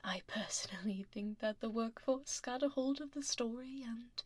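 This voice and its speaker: A woman playing a powerful, stern dragon lady CEO in an ASMR roleplay, speaking in a soft, half-whispered voice with controlled, politely menacing poise, close on a binaural microphone. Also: a little high, no echo, lightly acted. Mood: coolly probing. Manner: deliberate, controlled, politely menacing office speech.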